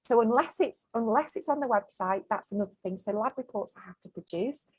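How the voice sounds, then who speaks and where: Woman, 40s, business owner guest, frank and emphatic, husky, dry close sound. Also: fairly high pitch, lively intonation, a little fast, darkish tone, no room sound. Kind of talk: casual interview chat.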